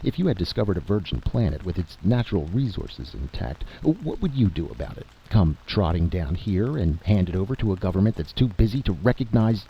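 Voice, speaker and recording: A man voicing an exasperated, forceful general who argues emphatically and impatiently in a story reading, on a dull, noisy recording. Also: deep voice, fairly quick, few pauses, slightly rough, pitched above the performer's own voice, exaggerated voice. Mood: indignant. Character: exasperated, forceful male military general arguing his case. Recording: dull lo-fi audio with steady hiss.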